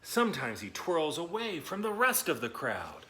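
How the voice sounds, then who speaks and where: Begeisterter Kinderbuch-Vorleser mit heller, kräftiger Stimme. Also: male voice, on the high side, huge pitch swings, moderate pace, sharp tone, slight background noise. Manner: enthusiastic, encouraging, addresses children directly. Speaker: children's story reader and host. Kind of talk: children's read-aloud and talk to young viewers.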